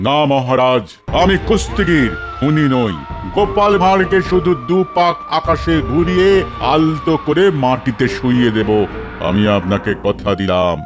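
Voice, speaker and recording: A man's voice: fairly high pitch, animated, moderate pace, over music, lightly acted. A man voicing a boastful, hulking champion wrestler in a cartoon, speaking in a declamatory way. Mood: confident. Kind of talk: Bengali cartoon dialogue.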